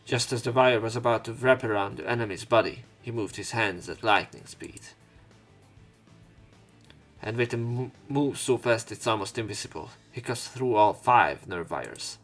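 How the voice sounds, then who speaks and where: A man's voice: medium pitch, moderate pace, fairly bright tone, faint music behind. A man hosting a let's play, reading visual novel narration aloud in a dramatic way, with commentary. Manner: acts out lines and exclamations.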